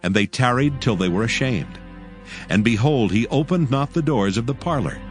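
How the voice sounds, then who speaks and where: A man narrating dramatized scripture in a solemn, measured way. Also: mid-range voice, lively intonation. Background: music.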